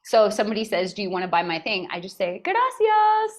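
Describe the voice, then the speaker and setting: An American woman in her forties, a podcast guest telling stories in a casual, animated way and often laughing. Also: mid-range voice, quick pace. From United States. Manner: animated and expressive, breaks into laughter. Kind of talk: casual conversational storytelling.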